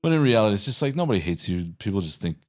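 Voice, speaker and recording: A man's voice: fairly low pitch, big pitch swings, rapid-fire, darkish tone. A man in his thirties hosting a podcast, chatting casually in a rambling way and cursing freely. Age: 30s. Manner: rambling, swears freely, acts out quotes.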